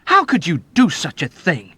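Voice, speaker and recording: A man voicing an earnest young soldier who protests loudly and stammers in indignation in an audiobook. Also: a little high, huge pitch swings, moderate pace, breathy edge, voice pushed up, exaggerated voice. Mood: indignant. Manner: loud, outraged, stammering protests.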